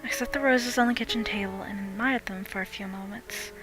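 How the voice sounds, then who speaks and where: A woman's voice: mid-range voice, steady tone, brisk, low-quality recording. A young adult woman reading a first-person horror story as dramatic scripted narration. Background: music.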